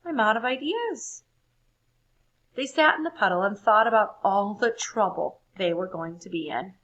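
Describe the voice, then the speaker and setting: Woman, children's read-aloud, creaky husky voice, expressive, deliberate storytelling. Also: medium pitch, lo-fi sound. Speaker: storybook reader. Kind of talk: read-aloud narration for children, with questions to listeners.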